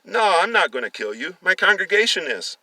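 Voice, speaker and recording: Man acting a forceful, menacing patriarch. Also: sits high, big pitch swings, fairly quick, dry recording, recorded from a distance, pitched above the performer's own voice, full character voice, poor audio. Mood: gloating menace. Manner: loud, forceful, threatening. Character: menacing, angry patriarch, cult preacher and killer.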